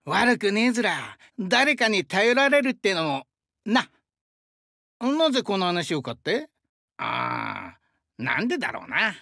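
High-voiced, hot-blooded young sumo god. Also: male voice, wildly swooping pitch, a little slow, bright, forceful tone, dry recording, studio quality, full character voice. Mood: gruff, reflective. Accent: rural central Japanese dialect (Shinshu). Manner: rough, hearty, rustic speech. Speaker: game character voice actor. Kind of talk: anime game character voice lines.

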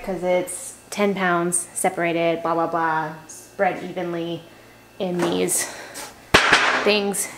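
A woman's voice: fairly low pitch, noisy background. A young woman vlogging casually in her home kitchen, chatty and laughing at her own slips, recorded by a camera on the counter. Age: young adult. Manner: chatty, self-correcting, laughs at herself.

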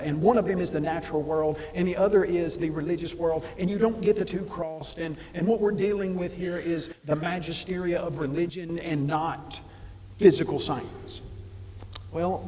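A man's voice: a little high, darkish tone. A middle-aged man giving an emphatic, argumentative debate rebuttal at a podium mic in a large auditorium. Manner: emphatic, argumentative, gestures while speaking. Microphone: podium mic on a stand. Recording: long hall reverb.

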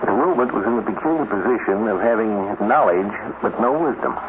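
Casting: male 1950s radio narrator, fairly low voice, scripted delivery, muffled noisy recording. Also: lively intonation, fairly quick.

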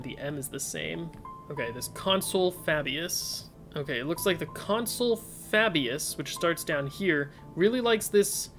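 A man's voice: fairly high pitch, a bit bright. Young man, casual puzzle streamer, tentative thinking aloud, faint music behind. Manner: reads chat suggestions aloud, tentative musing. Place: indoor room at desk.

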